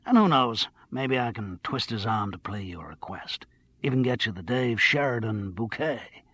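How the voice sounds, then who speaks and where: A man's voice: on the low side, expressive, moderate pace, a bit bright, slightly rough, no room sound. A man voicing a brash talent agent with an American accent in an audiobook. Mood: jovial, boastful.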